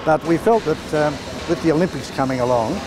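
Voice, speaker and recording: An older man with an Australian accent, recalling events in a measured interview manner. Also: on the high side, noisy background. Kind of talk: interview recollection.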